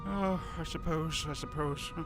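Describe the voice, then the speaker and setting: An actor playing a flustered young Time Lord with an old man's manner, halting and fussy in an audio drama. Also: fairly high pitch, music in the background. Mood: anguished, reluctant. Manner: hesitant, halting, fussy, adding "hmm?" and "my dear".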